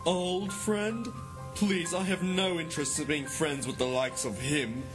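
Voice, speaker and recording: An actor playing a smug British mastermind who taunts genteelly in a 1950s-style radio drama. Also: male voice, on the high side, fairly even intonation, measured pace, over music, lightly acted. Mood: smug, mocking. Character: smug, mocking British male mastermind villain.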